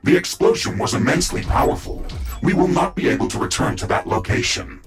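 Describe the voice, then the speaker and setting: An actor playing a calm, matter-of-fact male AI of a mecha suit in a sci-fi game, with clipped, formal technical reporting and a synthetic delivery. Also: a little fast, soft background music, natural voice. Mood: serious, analytical. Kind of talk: scripted sci-fi game dialogue.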